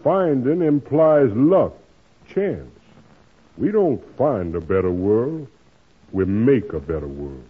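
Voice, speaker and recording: An actor playing a kindly, earnest preacher who gives moral counsel in a measured, sermon-like way, in 1940s radio drama style. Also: male voice, mid-range voice, big pitch swings, breathy edge, exaggerated voice. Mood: earnest, preaching. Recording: tinny old radio broadcast.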